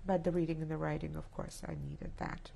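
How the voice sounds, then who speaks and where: Low, gravelly-voiced Polish-born woman. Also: level tone, warm tone. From Poland. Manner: reflective, halting, with many restarts. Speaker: interviewee. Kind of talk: oral history testimony. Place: indoor studio set with plants.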